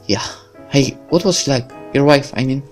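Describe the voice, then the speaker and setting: A man's voice: medium pitch, normal speed, a little mellow, soft background music, voice pushed up, close to an everyday voice. A man voicing a grieving young woman agent who blames herself, tearful and self-deprecating, reading a visual novel. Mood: gently curious.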